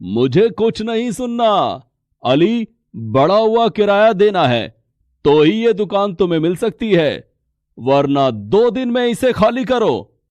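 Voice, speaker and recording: Cartoon landlord, male actor: hard-hearted, curt, higher-set male voice, measured and slow. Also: big pitch swings, a little mellow, no echo, dull audio, full character voice. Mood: harsh, unyielding. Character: stern, hard-hearted male shop landlord, demanding rent. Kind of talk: Hindi moral story cartoon voice acting.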